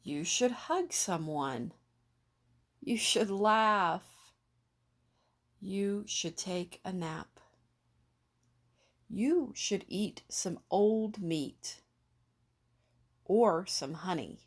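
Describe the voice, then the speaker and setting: A woman's voice: mid-range voice, expressive, very slow, long pauses, exaggerated voice. A woman voicing cheerful, nosy storybook jungle animals who coax and question with well-meant eagerness. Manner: bright, coaxing questions and suggestions.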